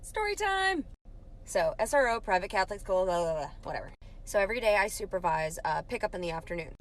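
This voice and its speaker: A woman who works at a school telling a story in an energetic, loud way. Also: medium pitch, quick pace. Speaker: school staff member. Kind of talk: comedic story time.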